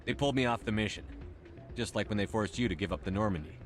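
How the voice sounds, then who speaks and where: An actor playing a driven, defiant starship commander in a video game, firm and commanding. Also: male voice, lowish voice, fast, soft background music, lightly acted. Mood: grim. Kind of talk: dramatic video game acting.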